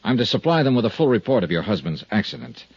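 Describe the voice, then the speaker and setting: An American actor playing a shrewd, tough insurance investigator in a 1950s radio drama, with a clipped, probing, coolly polite delivery. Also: male voice, mid-range voice, big pitch swings, quick pace, gravelly, slightly rough, dry recording, heavily acted. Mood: measured, probing. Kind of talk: 1950s radio detective acting, narration and dialogue. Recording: muffled 1950s radio recording.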